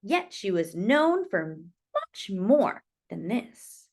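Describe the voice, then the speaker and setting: A woman reading a children's picture book aloud expressively, with dramatic, deliberate phrasing and drawn-out emphasis. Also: mid-range voice, big pitch swings.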